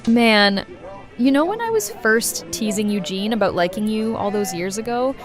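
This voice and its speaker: A woman's voice: mid-range voice, expressive, normal speed, over music, a crowd in the background, natural voice. A woman playing a brash, affectionate, wisecracking best friend in a conversational audio drama. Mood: casual banter.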